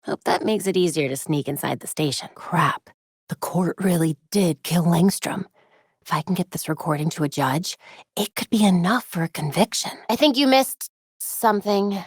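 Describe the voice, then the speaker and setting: A woman's voice: mid-range voice, dry recording, studio quality. A woman playing a young female superhero in a video game, witty, determined and brainy, with a wry conversational delivery.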